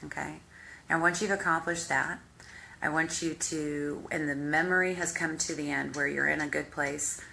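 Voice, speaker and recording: A woman hypnotherapist with a deep voice, guiding the listener through a visualization in slow, calm, step-by-step instructions. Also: gravelly, slight background noise. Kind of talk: guided visualization exercise.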